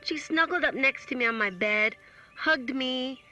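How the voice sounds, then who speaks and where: An actress playing an effusive high school girl confiding in her audio diary, with dramatic, stretched words. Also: measured pace, pushed and bright, lo-fi sound, soft background music. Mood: fond, touched. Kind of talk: naturalistic audio drama acting.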